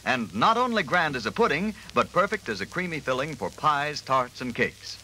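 A man's voice: a little high, sing-song, moderate pace, pushed and bright. A man giving an emphatic, polished commercial announcement in 1940s radio style. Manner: emphatic, polished sales delivery. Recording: faintly noisy vintage radio broadcast.

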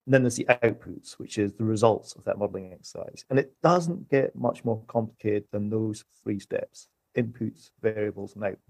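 A man's voice: on the low side, moderate pace, creaky, darkish tone, dry recording. A man, an economist guest, laying out his explanation in neat, numbered steps. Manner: orderly, lists points in steps. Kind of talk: podcast discussion, structured explanation.

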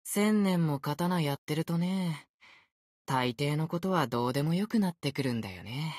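A man's voice: fairly high pitch, lively intonation, normal speed, a little mellow, dry recording, clean studio sound. A man voicing an easygoing, unfazed ancient sword spirit in youthful male form for a game, with languid, nonchalant phrasing. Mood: airy, nonchalant. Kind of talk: Japanese game character voice acting.

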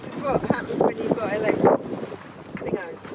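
A woman's voice: normal speed, very noisy. A woman chatting cheerfully while filming from a sailing yacht, encouraging and laughing. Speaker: vlogger filming. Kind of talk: casual chat while filming. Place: outdoors on a sailing yacht at sea.

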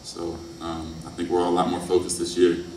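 A man in his early twenties, a college athlete, answering press questions in a thoughtful, hesitant, low-key way. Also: completely flat delivery, a little fast, a little mellow. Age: early 20s. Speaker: college basketball player. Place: press conference room, indoors. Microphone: table microphone on stand. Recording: echoey room, distant mic, faint noise.

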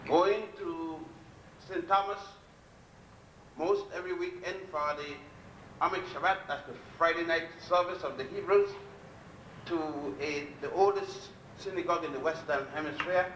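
An older man giving a public lecture from a podium, blunt and defiant, telling his story with long pauses. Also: fairly high pitch, a bit bright, distant mic, a little hiss or hum. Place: lecture hall at a podium before a chalkboard. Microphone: podium mic on a stand, filmed from a distance. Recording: echoey hall, recorded from afar.

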